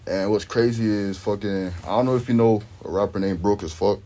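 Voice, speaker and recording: A man's voice: lowish voice, fairly even intonation, brisk, warm tone. A young man from Sweden, a rapper, chatting in a laid-back, slangy way as the interview guest. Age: young adult. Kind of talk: casual interview chat.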